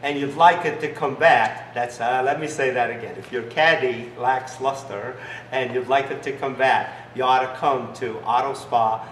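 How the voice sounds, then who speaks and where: A man's voice: fairly even intonation, normal speed, slight background noise. A middle-aged man, not a native English speaker, giving an enthusiastic promotional demonstration as a business founder in a large garage. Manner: enthusiastic salesy pitch with broken phrasing. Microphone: camera mic from a few steps away. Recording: echoey garage, distant mic.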